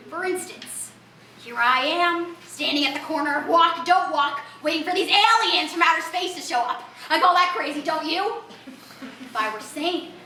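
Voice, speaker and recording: A woman doing a raspy, cackling street eccentric. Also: sits high, sharp tone, slight background noise, full character voice. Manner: rambling, confrontational, cackling laughs. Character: eccentric street woman awaiting space aliens. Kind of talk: theatrical comic monologue. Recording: roomy, distant mic.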